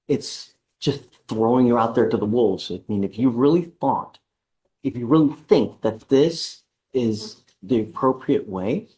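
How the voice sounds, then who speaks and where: A man speaking as a judge at a courtroom hearing, measured and deliberate, stern but patient. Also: medium pitch, a little mellow, rough recording.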